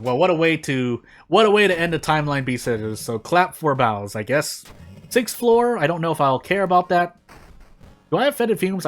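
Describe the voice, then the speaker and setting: A man's voice: on the high side, a bit bright. A man hosting a gaming channel, giving casual, rambling gameplay commentary with self-deprecating asides.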